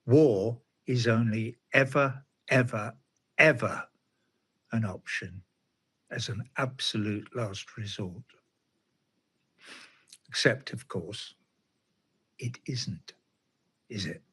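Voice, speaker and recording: A man's voice: mid-range voice, slow, pauses often, fairly bright tone, slightly rough. A man delivering a spoken essay monologue in a sardonic tone, often asking himself rhetorical questions. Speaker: commentator.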